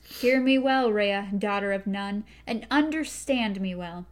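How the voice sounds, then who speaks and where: A woman's voice: medium pitch, close to an everyday voice. A woman voicing a stern young gunslinger. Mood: threatening. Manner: grave, slow warnings. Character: stern young male gunslinger, cold and threatening.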